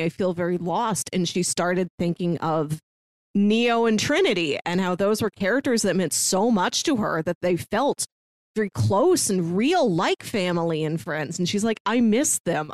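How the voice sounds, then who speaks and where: A woman's voice: medium pitch, darkish tone, no echo. Woman podcast cohost, sincere movie chat, lots of um and like, dead dry mic. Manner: thoughtful, sincere, with frequent fillers and restarts. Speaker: podcast co-host. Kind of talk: casual film discussion.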